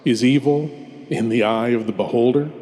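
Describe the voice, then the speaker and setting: A man hosting a horror show with a scripted, theatrical introduction, dramatic and ominous, with deliberate pauses. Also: medium pitch, dark tone. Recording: long cavernous reverb.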